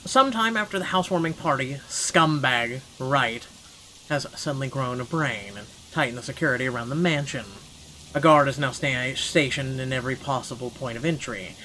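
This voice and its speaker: A man with a medium-pitched voice narrates a visual novel in an expressive, dramatic first-person style. He drops in blunt, sweary asides as a gaming host. Clear background noise and ambience sit behind his voice. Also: normal speed, poor audio. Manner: expressive, sweary commentary between read passages.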